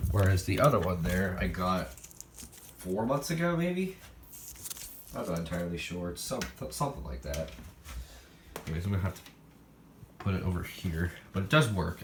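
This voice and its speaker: A man's voice: sits low, animated, normal speed, long pauses. A man giving a casual, unscripted show-and-tell of his collection, rambling and thinking aloud as he handles gear. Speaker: hobbyist showing a weather radio collection. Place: indoor room, recorded while handling radios. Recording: echoey room with loud background noise.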